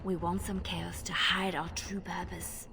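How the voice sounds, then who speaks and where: A woman voicing a poised, commanding spymaster in a video game, smooth and deliberate as she gives instructions. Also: on the low side, level tone, sharp tone, a little hiss or hum, heavily acted. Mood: seductive, conspiratorial. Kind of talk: video game character acting.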